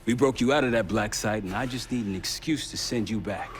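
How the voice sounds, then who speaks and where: Actor playing a blunt American team leader, low voice. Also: male voice, brisk, a little hiss or hum, natural voice. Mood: stern, distrustful. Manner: blunt, no-nonsense, commanding. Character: tough, wary American team leader in a spy thriller. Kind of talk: cinematic video game acting.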